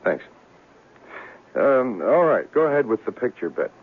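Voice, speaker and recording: An actor playing a fragile, paranoid young artist who swings between babyish pleading and terse muttering, in 1970s radio drama style. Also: male voice, medium pitch, sing-song, unhurried, slightly rough. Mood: flat, calculating. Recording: tinny, lightly noisy old radio recording.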